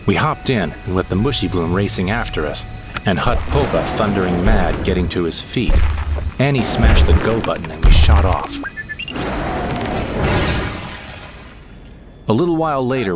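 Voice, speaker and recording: A man's voice: low voice, noisy background. A man playing a wistful, droll sidekick who narrates a scripted sci-fi comedy audio drama in the first person. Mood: dramatic.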